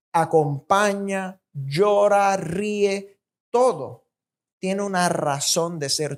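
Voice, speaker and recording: Slow-preaching Puerto Rican man. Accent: Puerto Rican Spanish. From Puerto Rico. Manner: emphatic, rhythmic repetition, rising pitch on key points. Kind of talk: sermon.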